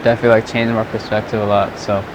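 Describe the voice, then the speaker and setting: A man's voice: lowish voice, level tone, very fast. Rambling young man outdoors. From Southern California. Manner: loose and rambling, many "like"s. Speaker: vlogger and photographer. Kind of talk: casual chat to camera. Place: outdoors at sunset. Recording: outdoor noise with traffic.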